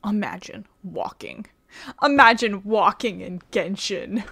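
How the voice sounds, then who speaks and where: A woman's voice: medium pitch, slow pace, vocal fry, bright, forceful tone. A woman giving casual, sarcastic, sweary commentary as she plays a game. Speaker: gaming streamer.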